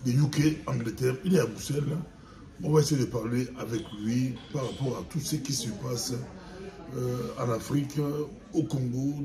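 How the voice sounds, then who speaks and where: A man's voice: mid-range voice, reverberant, poor audio. A man hosting an interview as a pastor, chatty and repetitive, mixing French with Lingala words. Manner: chatty, repeats himself, mixes French and Lingala. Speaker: host, pastor. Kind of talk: casual interview. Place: studio.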